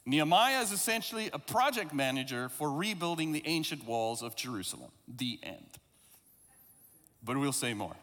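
A man's voice: on the high side, very animated, normal speed, a bit bright, in a large hall. A man from South Africa, English not his first language, preaching a sermon in a reflective, conversational way with a few self-deprecating asides. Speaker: pastor.

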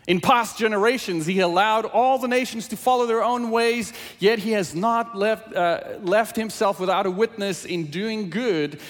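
A man's voice: a little high, long reverb tail. A South African man whose first language is Afrikaans, preaching a sermon with scripture reading and asking his audience questions. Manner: animated, engaging the audience with questions. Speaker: pastor.

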